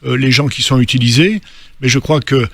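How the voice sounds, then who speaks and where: A man speaking as a studio guest on a radio debate, measured and full of hesitations. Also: medium pitch, a bit bright, no echo.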